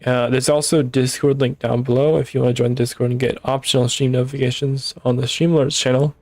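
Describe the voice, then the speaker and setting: A young man streaming a game, hoarse and tired, rambling casually as he thinks aloud. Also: medium pitch, flat delivery, fast talker, darkish tone, no echo. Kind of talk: casual live-stream commentary.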